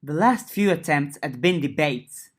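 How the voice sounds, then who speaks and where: A woman narrating an audiobook of a fiction serial, lifting her voice for dialogue and settling lower for narration. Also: animated, unhurried. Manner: reads dialogue with lifted pitch, narration lower and softer. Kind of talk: audiobook reading of a web serial.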